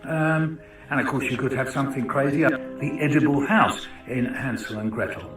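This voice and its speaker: Male lecturer, conversational and questioning, mid-pitched, recorded at a distance with music behind. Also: moderate pace. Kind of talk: informal educational talk with rhetorical questions. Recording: roomy, distant mic with music.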